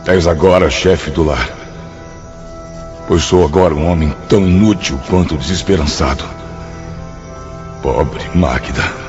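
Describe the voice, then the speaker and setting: A man's voice: very deep voice, unhurried, pauses often. A man playing a defeated, tender husband in a radio drama, mournful and formal in his speech. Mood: despairing, tender. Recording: dull audio under prominent music.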